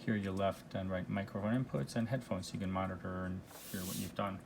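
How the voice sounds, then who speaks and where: A man presenting a casual product demo in a halting, unscripted, explanatory way. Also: deep voice, steady tone, gravelly, noisy background. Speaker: presenter demonstrating vintage audio gear.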